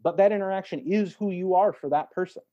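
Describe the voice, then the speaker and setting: A man speaking as an interview guest, an actor talking in a thoughtful, reflective way with measured phrasing and emphatic swells. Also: sits high, warm tone, muffled recording. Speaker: podcast guest, stage and voice actor.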